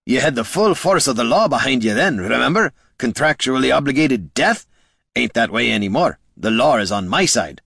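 A man doing a gruff, blunt hero. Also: mid-range voice, big pitch swings, normal speed, fairly bright tone, no echo, studio quality, voice pushed up, full character voice. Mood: defiant. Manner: growling, plainspoken.

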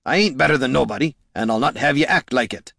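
A man voicing a blunt, stubborn, warm-hearted veteran fantasy hero with emphatic, rustic dialect speech. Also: mid-range voice, animated, fast talker, vocal fry, no room sound, studio quality, pitched above the performer's own voice, full character voice. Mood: firm, earnest.

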